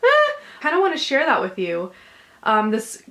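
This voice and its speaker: A woman's voice: high voice, wildly swooping pitch, moderate pace. Young woman host, chatty and soothing, rambles with lots of ums. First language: English. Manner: chatty, filler-heavy, gently reassuring. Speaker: channel host. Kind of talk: casual chat, reading subscriber stories.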